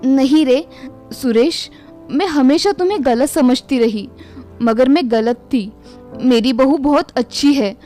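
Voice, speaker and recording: Woman playing a high-voiced, scolding mother-in-law. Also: slow, dark tone, soft background music. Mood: remorseful. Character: scheming, scolding Indian mother-in-law in a family story. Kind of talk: animated Hindi moral story dialogue.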